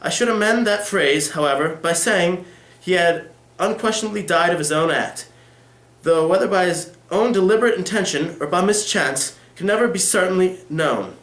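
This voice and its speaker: A man reading the part of a calm, discreet lawyer who speaks formally and meditatively in a novel. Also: high-pitched, normal speed, pitched above the performer's own voice. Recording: echoey room.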